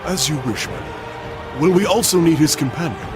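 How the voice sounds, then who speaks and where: An actor playing a deferential, formal herald in a video game, with an obsequious, ceremonious manner. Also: male voice, a little high, animated, moderate pace, lightly acted. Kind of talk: video game cutscene acting. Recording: loud music behind.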